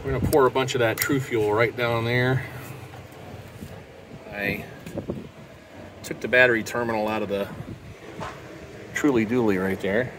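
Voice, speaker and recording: A man's voice: medium pitch, fairly even intonation, a little slow, long pauses. A middle-aged American man giving casual, dryly humorous commentary while working hands-on outdoors. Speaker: host of a car repair channel. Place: outdoors beside a car. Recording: clearly noisy outdoor recording.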